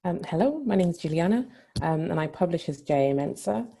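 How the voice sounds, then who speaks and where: A woman writer introduces herself at an online event in a deep voice thick with creak. The tone is dark and mellow. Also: expressive, a little fast. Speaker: writer on a panel.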